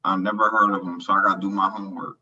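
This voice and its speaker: A man's voice: low voice, little pitch movement, racing, sharp tone, distant mic. A man co-hosting a podcast, answering in short, agreeable remarks. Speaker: podcast co-host. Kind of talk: casual podcast chat. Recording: dull sound, off mic.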